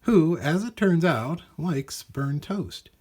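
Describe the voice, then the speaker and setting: A man reads a children's story with theatrical emphasis. His voice is slightly rough-edged and husky, and his pitch moves up and down freely as he stresses key words. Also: slow. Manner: expressive, dramatic emphasis. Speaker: storybook reader. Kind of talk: animated scripted storybook narration for children.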